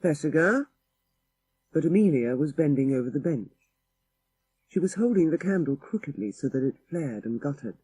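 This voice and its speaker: A man's voice: a little high, expressive, a little fast, mellow, husky, no room sound, poor audio. A man narrating a literary ghost story for an audiobook in a dramatic style.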